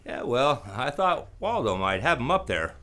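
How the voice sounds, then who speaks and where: An actor playing a wry, weary hardboiled detective in a radio drama, talking conversationally with some hesitation. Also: male voice, medium pitch, big pitch swings, moderate pace, fairly bright tone, soft background music. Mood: casual, persuasive. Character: wry, weary male private detective narrating his case.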